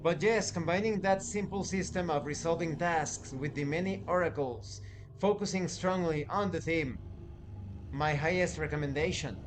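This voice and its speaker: A man giving review commentary to viewers as a channel host, speaking deliberately and emphatically in short, separated phrases. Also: on the high side, background noise.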